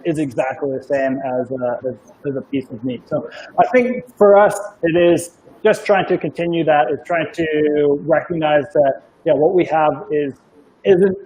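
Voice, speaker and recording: A man's voice: a little high, normal speed, a little mellow. A man being interviewed about his company, talking casually with frequent fillers and restarts over a video call. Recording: muffled lo-fi audio.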